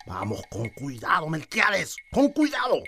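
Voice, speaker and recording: A man's voice: a little high, wildly swooping pitch, a little slow, gravelly, bright, forceful tone, soft background music, heavily acted. An actor with a Chilean accent playing a cautious, authoritative newspaper director in a radio drama, speaking emphatically and repeating his words. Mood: wary. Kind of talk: Spanish-language radio drama acting.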